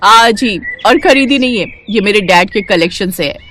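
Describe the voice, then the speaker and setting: A woman's voice: medium pitch, lively intonation, moderate pace, fairly bright tone. A woman voicing a spoiled, haughty college-age rich daughter in an audio story, with snooty speech sprinkled with English. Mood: haughty, condescending.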